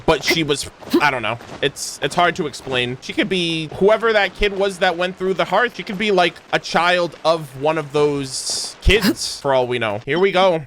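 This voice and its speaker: A young man giving casual, slangy reaction commentary as a gaming content creator, animated in manner. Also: a little high, normal speed, hardly any pauses, a little hiss or hum.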